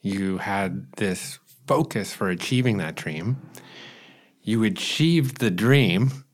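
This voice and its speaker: A middle-aged man hosting a podcast interview, reading a scripted intro and then asking measured, earnest questions. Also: on the low side, expressive, no room sound, clean studio sound. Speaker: podcast host.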